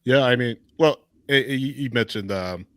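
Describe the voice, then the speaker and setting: A man hosting a casual live sports chat, agreeable and a little halting as he talks. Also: medium pitch, no room sound. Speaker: sports podcast host.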